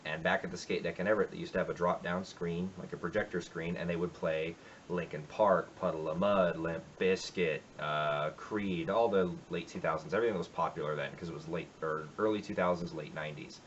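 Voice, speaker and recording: Low-voiced man rambling about a song. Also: fairly even intonation, a little fast, dull audio, a little hiss or hum. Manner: rambling, reflective, many fillers. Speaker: musician covering a song. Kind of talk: casual spoken intro to a cover. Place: home music room.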